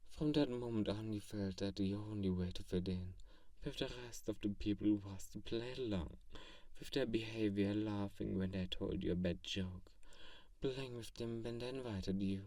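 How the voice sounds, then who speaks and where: A man playing an ancient, teasing yet soothing vampire in an intimate ASMR roleplay, speaking in slow phrases with hushed touches. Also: on the low side, no echo, distant mic, natural voice. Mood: tender, melancholy. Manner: slow pauses, soft chuckles, drops into whispers. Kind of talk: ASMR roleplay, intimate one-sided comfort monologue.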